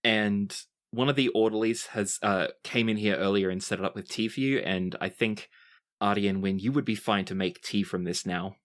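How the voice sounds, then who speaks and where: A low-pitched game master narrates a tabletop fantasy game. The storytelling is descriptive and dramatic, with deliberately placed phrases.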